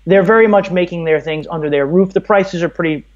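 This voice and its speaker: A man's voice: high voice, brisk, a little mellow, dull audio. A man from Brooklyn, telling stories conversationally as a podcast guest. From Brooklyn, New York, USA. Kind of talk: conversational interview, storytelling.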